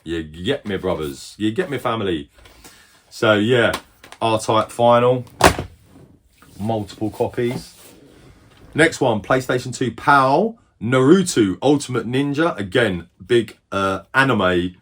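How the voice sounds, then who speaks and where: A middle-aged man casually showing off his game collection, rambling and correcting himself as he goes, a little hoarse. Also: medium pitch, expressive, takes it slowly, a bit bright. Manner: rambling, chatty, self-correcting. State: losing his voice. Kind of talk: casual collection show-and-tell.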